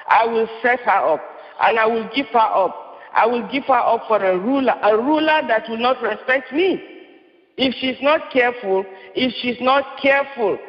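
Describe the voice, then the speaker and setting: A man delivering an impassioned prophetic sermon, repeating his lines with rising force. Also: extremely high pitch. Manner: impassioned, repetitive declamation. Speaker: preacher. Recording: muffled, reverberant hall.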